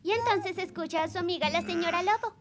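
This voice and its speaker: A woman's voice: extremely high pitch, fast talker, a little hiss or hum. A woman voicing a cheerful, caring little girl in a dubbed cartoon. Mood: playful storytelling. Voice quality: childlike. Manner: animated, encouraging. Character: cheerful, caring little girl who loves pretend play. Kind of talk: Spanish-dubbed children's cartoon acting.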